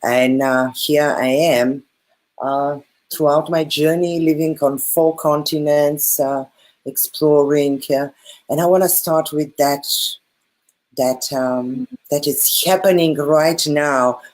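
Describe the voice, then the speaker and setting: A woman's voice: deep voice, fairly even intonation, takes it slowly, a little mellow. A woman from Bulgaria with a Bulgarian accent, speaking earnestly over a video call as a guest, with repeated phrases and fillers. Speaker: guest, spiritual teacher. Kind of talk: casual video call chat.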